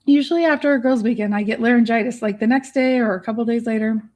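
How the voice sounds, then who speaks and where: A middle-aged woman with a raspy, hoarse voice, hosting a casual live chat from her home studio on a studio mic. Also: on the high side, quick pace, darkish tone. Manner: chatty, bubbly, giggly. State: hoarse, worn voice. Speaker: livestream host. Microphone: studio microphone.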